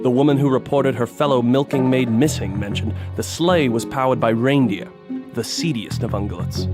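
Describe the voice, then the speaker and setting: A man's voice: mid-range voice, natural voice. A man playing a dogged, melodramatic detective, narrating a noir parody radio drama in an earnest, dramatic way. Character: brooding hard-boiled male detective narrator, melodramatic, dogged. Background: music.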